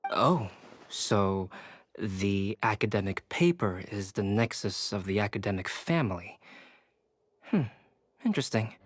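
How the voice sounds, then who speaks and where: A man voicing a calm, courteous young alchemist and teacher in a video game, polite and inquisitive. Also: fairly low pitch, unhurried, no echo, studio quality. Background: game music.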